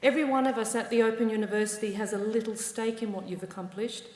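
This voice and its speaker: Australian woman, ceremony speech, measured and warm, big echoey hall. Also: on the high side, steady tone. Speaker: university ceremony presider. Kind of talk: formal ceremony address.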